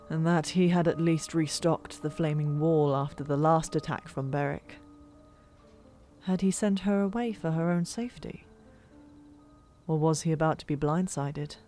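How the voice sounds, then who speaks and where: A woman reading a fantasy novel as an audiobook narrator, expressive and dramatic, with hushed asides and pauses. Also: deep voice. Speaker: narrator of a fan-made audiobook. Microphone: old microphone. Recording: music bed, older microphone. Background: background music.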